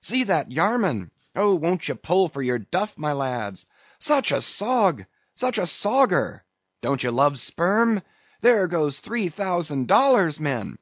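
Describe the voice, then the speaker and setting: A man voicing an excitable whaleboat mate who frantically urges on his rowers in pleading, repeated exclamations, as an audiobook character. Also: on the high side, slow pace, no echo, highs cut off, voice pushed up, exaggerated voice. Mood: frantic, pleading.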